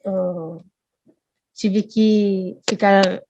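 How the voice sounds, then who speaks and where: A woman's voice: medium pitch, steady tone, drawn-out words, a little mellow, a little hiss or hum. Lady w chatting as a guest, lots of restarts, kinda dull lo-fi sound. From Brazil. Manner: storytelling, with many restarts and tag questions. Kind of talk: casual chat.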